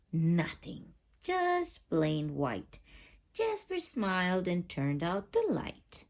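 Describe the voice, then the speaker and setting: Female children's storyteller, very animated, slow delivery, some vocal fry, builds suspense. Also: lowish voice. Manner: expressive, suspenseful, stretches key words. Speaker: storyteller reading a picture book aloud. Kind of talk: animated children's read-aloud with dramatic whispers and questions.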